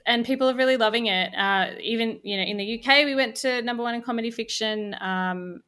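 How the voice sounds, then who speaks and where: A woman's voice: on the high side, brisk, sharp tone. A woman talking casually as a podcast guest, upbeat and informal in how she explains things. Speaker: podcast guest, audio drama co-creator. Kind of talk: casual interview chat.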